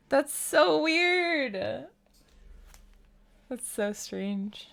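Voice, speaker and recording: A woman's voice: sits high, expressive, drawn-out words, vocal fry, fairly bright tone. A woman streaming a game with casual, amused live commentary and giggly asides.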